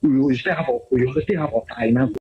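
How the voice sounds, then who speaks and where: A man's voice: medium pitch, fast, phone-quality sound. A man making an impassioned, insistent speech, repeating his points for emphasis, heard as a played clip.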